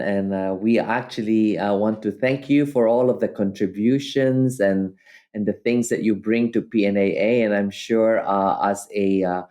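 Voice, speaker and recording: Mellow-voiced male podcast host. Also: on the low side. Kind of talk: formal scripted introduction and interviewing.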